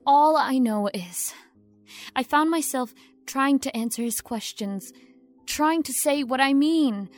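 A woman's voice: sits high, slow, soft background music. A woman playing a young, ambitious actress in an audio drama, earnest and self-dramatizing over a scheming streak. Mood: wounded. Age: young adult. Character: young ambitious actress, wounded innocence masking cold scheming.

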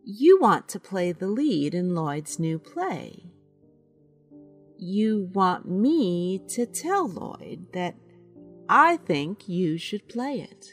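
A woman playing a sensible, skeptical friend in an audio drama, with a dry, measured manner. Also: medium pitch, sing-song, faint music behind.

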